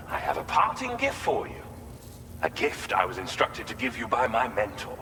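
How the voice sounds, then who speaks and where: A man's voice: medium pitch, sharp tone. A man playing a courteous, enthusiastic scientist and inventor in a video game, explaining things in formal, wordy, precise phrases. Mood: courteous, friendly. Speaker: researcher and quest giver. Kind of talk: scripted game cutscene dialogue. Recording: long hall reverb, clear vehicle ambience noise.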